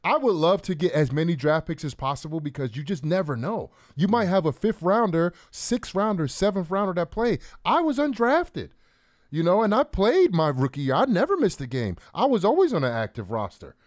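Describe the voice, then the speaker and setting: A man's voice: sits high, big pitch swings, a little fast, no room sound, studio quality. A man in his thirties giving casual, conversational sports analysis as a co-host, repeating phrases as he builds his points. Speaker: former pro football player, co-host. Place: small podcast studio. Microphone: close broadcast mic on boom arm.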